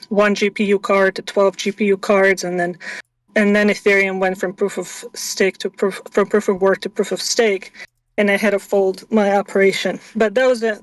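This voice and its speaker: Woman guest in her 30s, from Russia, level even delivery, dry close sound; measured storyteller. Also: medium pitch, no room sound, far from the mic, low-quality recording. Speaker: guest, venture investor. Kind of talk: casual interview chat.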